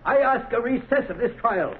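A man's voice: very high-pitched, expressive, normal speed, a little hiss or hum. A man playing an earnest, indignant defense lawyer in a 1930s radio drama, speaking formally and emphatically. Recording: tinny, narrow-band 1930s radio recording.

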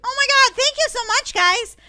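Woman as an excitable, very high-pitched girl gamer. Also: moderate pace, heavily acted. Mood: starstruck. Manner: dramatic, whiny, exclaiming. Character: excitable, dramatic girl gamer and her bickering sister. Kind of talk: scripted gaming roleplay skit.

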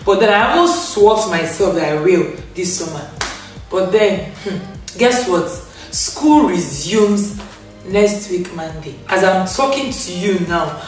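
A young woman talking casually to camera in a living room, chatty and expressive, laughing often, recovering from losing her voice. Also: on the low side, slow. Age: young adult. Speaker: vlogger. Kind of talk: casual storytime rant to camera. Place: indoor living room, on a grey sofa. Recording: echoey room, a bit far from the mic, faint music.

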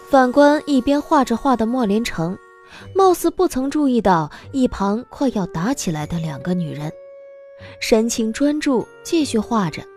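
Animated, high-voiced woman narrator. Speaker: audiobook narrator. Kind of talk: expressive scripted story narration.

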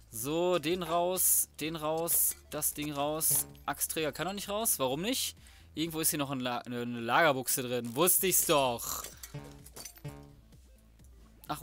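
Male gaming host, bright higher-pitched voice, casual slangy muttering, music behind. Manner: colloquial, mutters to himself, mild swearing. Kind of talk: casual let's play commentary.

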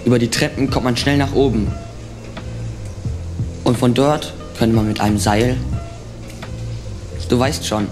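A man's voice: medium pitch, steady tone, measured pace, long pauses, lightly acted. A man as fed-up wall guard over music. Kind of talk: school radio play acting.

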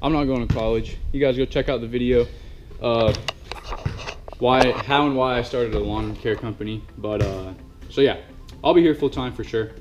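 A man's voice: mid-range voice, moderate pace, muffled recording, over music. Young man vlogging on an action camera. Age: young adult. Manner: chatty and upbeat, many fillers and "guys". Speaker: lawn care business owner vlogger. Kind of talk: casual vlog talk to camera. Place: outdoors by a house and garage, earlier in a truck. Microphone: action camera held close.

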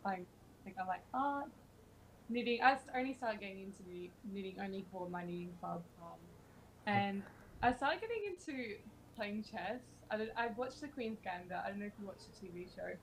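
A woman's voice: medium pitch, animated, fairly quick, a little hiss or hum, poor audio. A teenage girl from Australia with an Australian accent, chatting in a friendly way over a video call with plenty of ums. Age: teens. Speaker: stranger on video chat. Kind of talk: casual video chat. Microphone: computer mic on video call.

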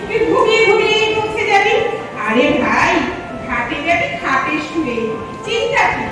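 A very high, expressive female voice of a woman acting plays a teasing friend in a stage audio play. She banters with playful mockery. The sound is distant and washed in heavy hall echo, with music behind. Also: moderate pace. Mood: playfully mocking. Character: teasing, chatty female friend joking about old age and death.

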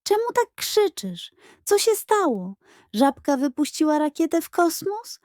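Woman playing high-pitched, excitable little boy. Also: a little slow, no room sound, studio quality. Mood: excited curiosity. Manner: breathless, wide-eyed, enthusiastic. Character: excited, eager little boy, younger brother. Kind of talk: children's audiobook character voice.

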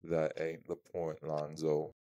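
A man playing a blunt but protective male vampire in an intimate audio roleplay, speaking gruffly and informally. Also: very deep voice, monotone, slow, dull audio, background noise. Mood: dry, challenging.

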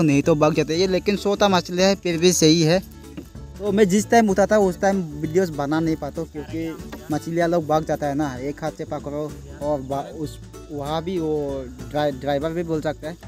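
A young man with a northeast Indian accent gives casual, rambling vlog commentary, explaining things to viewers and repeating himself, recorded outdoors on a phone. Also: fairly high pitch. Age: young adult. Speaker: village fishing vlogger. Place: outdoors on a small wooden boat on a river. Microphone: phone at arm's length.

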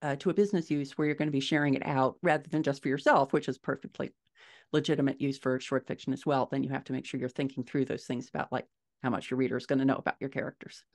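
A woman's voice: a bass voice, steady tone, fast. A middle-aged American woman explaining things conversationally as an interview guest, thinking aloud on a video call from home. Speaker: guest author and podcaster. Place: living room at home, indoors, on a video call.

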